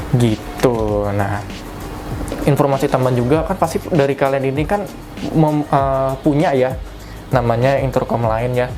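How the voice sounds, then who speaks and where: A young man gives a casual step-by-step tutorial in a conversational, colloquial way, often correcting himself. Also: mid-range voice. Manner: conversational, repeats colloquial tags and self-corrects. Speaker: product reviewer giving a tutorial. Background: music.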